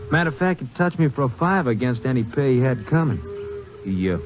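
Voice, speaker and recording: A man's voice: mid-range voice, big pitch swings, a little fast, soft background music, lightly acted. An actor playing an amiable, chatty, hesitant ranch foreman in an old-time radio western, with folksy American speech. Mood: amiable, rambling. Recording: muffled vintage radio recording, faint noise.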